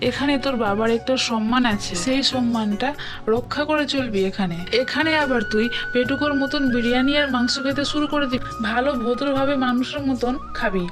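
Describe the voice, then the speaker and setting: A woman's voice: sits high, fairly quick, lightly acted. A woman voicing an exasperated, scolding middle-aged mother in a cartoon. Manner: scolding, complaining. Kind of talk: animated moral-tale cartoon dialogue. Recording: music behind the voice.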